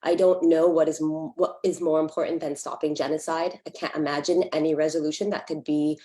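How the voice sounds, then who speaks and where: A woman's voice: low-pitched, flat delivery, brisk, mellow, roomy sound. An American woman giving an impassioned, indignant public comment with emphatic appeals. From San Francisco Bay Area, USA.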